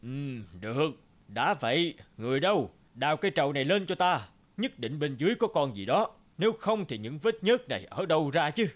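A man playing a stern but fair royal judge in a cartoon folktale, speaking with deliberate authority. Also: fairly high pitch, very animated. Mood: investigating, commanding. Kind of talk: animated folktale acting.

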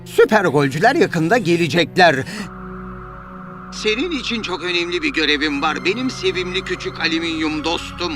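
A man's voice: on the high side, animated, moderate pace, music in the background, lightly acted. A man voicing a gleeful, scheming cartoon villain who gloats theatrically. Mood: sly, commanding. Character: gleeful scheming male villain with a pet gadget. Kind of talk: cartoon dubbing.

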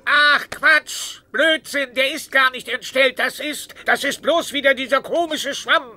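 A man's voice: very high-pitched, unhurried, bright, forceful tone, exaggerated voice. A man playing a grumpy, skeptical, aging superhero sidekick who grumbles in exasperation in a German cartoon audio drama. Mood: dismissive.

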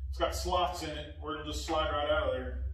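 A man's voice: fairly high pitch, steady tone, brisk, a bit bright, a little hiss or hum. A man in his forties casually explaining a motorcycle windshield install in his garage, talking while he works and drifting from the phone camera. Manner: plain-spoken, talks while working with his hands, drifts from the mic. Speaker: motorcycle vlogger. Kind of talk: casual how-to narration while working.

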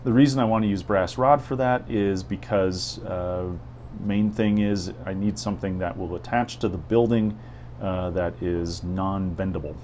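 Low-voiced man narrating his workbench. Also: steady tone, moderate pace, darkish tone, slight background noise. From United States. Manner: hesitant, many "uh" fillers, thinking aloud. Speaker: model railroad hobby host. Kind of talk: relaxed tutorial narration over workbench footage. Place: home workbench.

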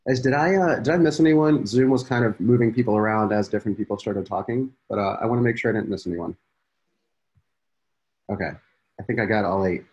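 A man's voice: fairly low pitch, a little fast, darkish tone, husky, highs cut off. A man in his thirties hosting a founders' video call, talking casually with many fillers. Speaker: program host. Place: indoor room. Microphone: laptop video call.

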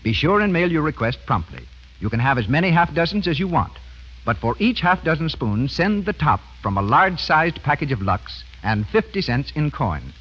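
A male American radio announcer from the 1930s with a husky edge and lively, swooping pitch. He sells formally and emphatically, every word carefully placed. The recording is muffled, like an old broadcast. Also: moderate pace.

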